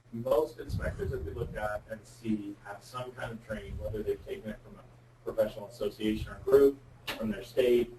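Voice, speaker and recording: A man's voice: mid-range voice, warm tone. A man giving candid, plain-spoken explanations as a guest expert on a web conference call. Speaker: guest speaker, insurance representative. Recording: roomy, distant mic with faint noise.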